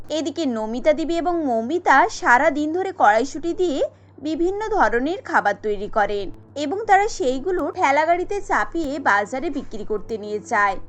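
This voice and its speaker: High-pitched woman narrating a story. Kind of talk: scripted cartoon story narration.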